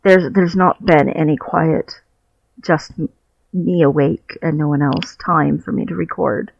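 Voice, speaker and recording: A man's voice: fairly high pitch, steady tone, unhurried, gravelly, dry recording. A man talking candidly and reflectively in a personal video diary, searching for his words as he goes, recorded on a headset mic while sitting on his bed at home. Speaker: vlogger. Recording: dull, muffled lo-fi headset audio.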